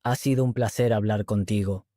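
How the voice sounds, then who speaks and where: Male host-teacher, mellow voice, even measured read, dry close sound. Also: fairly low pitch, no echo. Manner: clear, even, measured delivery. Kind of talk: slow scripted dialogue reading.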